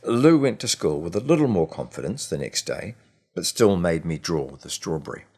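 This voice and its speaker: Man, deep voice, audiobook storytelling, measured and expressive, deliberate pauses. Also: husky. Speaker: author reading own short story. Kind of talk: scripted audiobook narration.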